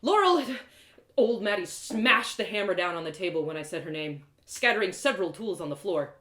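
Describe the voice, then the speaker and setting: A woman reading a first-person fantasy story aloud in a dramatic, expressive storytelling manner. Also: fairly low pitch, a little fast. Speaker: storyteller, author reading live. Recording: roomy echoing sound.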